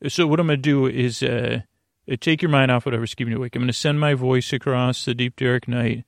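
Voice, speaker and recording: A man with a creaky voice hosting a bedtime podcast in a soothing, meandering monologue, halting and repeating himself as he drifts into tangents. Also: medium pitch, fairly even intonation, no room sound, clean studio sound. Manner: soothing, lulling. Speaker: host of a bedtime story podcast. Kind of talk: rambling sleep-podcast monologue.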